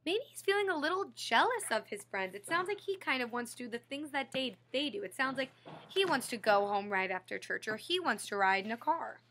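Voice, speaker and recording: High, animated woman reading to children. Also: brisk. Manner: explanatory, prompting young listeners with questions. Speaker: read-aloud storyteller and teacher. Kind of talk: expressive picture book read-aloud for children.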